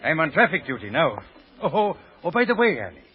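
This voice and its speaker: A genial beat policeman with a highish voice and very animated intonation, heard on a muffled vintage radio recording. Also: a little fast. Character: genial, chuckling male traffic policeman. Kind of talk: old-time radio drama acting.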